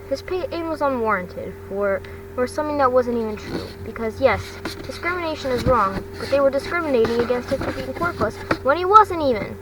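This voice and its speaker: Female amateur story reader, higher-pitched, stumbling delivery, recorded off-mic with noticeable noise. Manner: halting reading, stumbles over words. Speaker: amateur fan fiction reader. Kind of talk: scripted narration, reading a story aloud. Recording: distant mic with loud rumbling noise.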